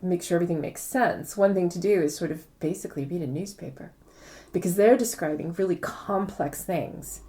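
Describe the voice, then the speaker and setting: A woman's voice: on the low side, dark tone. A woman author being interviewed, explaining things articulately, step by step.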